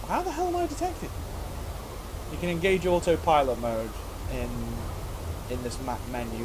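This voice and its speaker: A man's voice: mid-range voice, noisy background. A man chatting through a game he is playing, self-mocking and talkative, sounding stuffy from a cold. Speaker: gaming channel host. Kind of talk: casual gameplay commentary.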